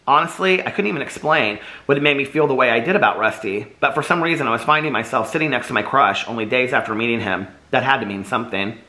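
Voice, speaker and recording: A man's voice: medium pitch, quick pace, few pauses, sharp tone. A man who is slightly losing his voice chats casually, then reads his own novel aloud in an unpolished way with small restarts. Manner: chatty, friendly intro, unpolished read-through with small restarts.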